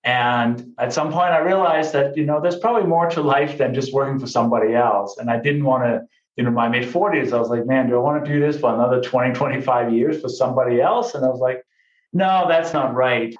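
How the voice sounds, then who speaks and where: A man's voice: medium pitch, fairly quick. A man in his late forties from Denmark, talking upbeat and conversationally as a podcast guest. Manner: upbeat, conversational, says "right?" and "you know" often. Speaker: guest, real estate investor. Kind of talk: casual interview talk. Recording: roomy, dull lo-fi sound.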